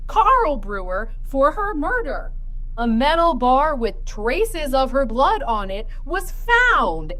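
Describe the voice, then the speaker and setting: High-pitched, lurid crime show host. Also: female voice, fairly bright tone, exaggerated voice. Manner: dramatic, drawn-out emphasis. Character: sensationalist female true-crime TV host, melodramatic and lurid. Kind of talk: tabloid broadcast delivery.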